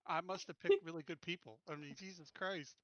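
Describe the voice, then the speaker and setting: A man's voice: fairly high pitch, steady tone, brisk, no room sound, lo-fi sound. A man playing a tabletop game, chatting casually in a blunt, joking way with some swearing. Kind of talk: casual banter.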